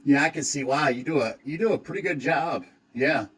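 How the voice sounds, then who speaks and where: A man playing a laid-back, creepy massage client in a comedy skit, talking in a low-key, awkward, halting way. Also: fairly bright tone, slightly rough. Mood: relaxed.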